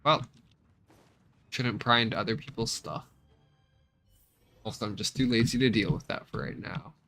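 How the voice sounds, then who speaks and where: A man's voice: on the low side, normal speed, bright, forceful tone. A man giving casual, self-deprecating commentary as he plays a video game. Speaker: gaming channel host. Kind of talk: casual let's play commentary. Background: faint music.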